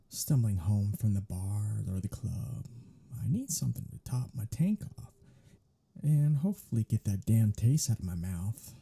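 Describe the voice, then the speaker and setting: A man playing a sardonic, teasing vampire in an intimate ASMR roleplay, with a husky, breathy voice and a sarcastic, mocking drawl. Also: lowish voice, dark tone, heavily acted. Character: sardonic, teasing male vampire, grumbling and irreverent.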